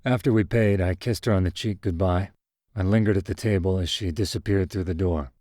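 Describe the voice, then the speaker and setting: A man with a very low, deep voice reads a short story in the first person. His pacing is calm and measured. The close studio recording is dry, with no room sound. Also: no echo. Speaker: narrator. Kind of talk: scripted narration of a short story.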